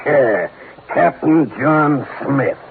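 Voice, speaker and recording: A man playing a menacing, heavy-set thug with a rough voice in a 1950s radio drama. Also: mid-range voice, lively intonation, slight background noise. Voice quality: rough, gravelly. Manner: slow, menacing. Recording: narrow, muffled old radio recording.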